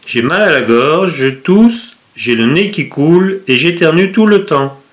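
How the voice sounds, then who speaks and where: A weary patient with a cold played by a man describes his symptoms in a scripted dialogue for learners. His voice sits a little high, and he delivers his lines plainly. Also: animated, a little slow. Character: tired male patient with a cold.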